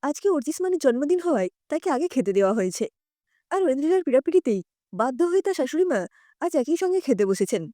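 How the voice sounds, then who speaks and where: A woman's voice: high voice, very animated, fast, dark tone, dry recording, studio quality. A woman narrating a scripted story expressively as a storyteller.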